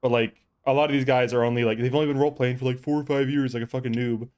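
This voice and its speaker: A man's voice: medium pitch, fairly even intonation, racing, a little mellow. A man hosting a live gaming stream, rambling through casual chat with friends and swearing freely as he thinks aloud. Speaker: streamer host.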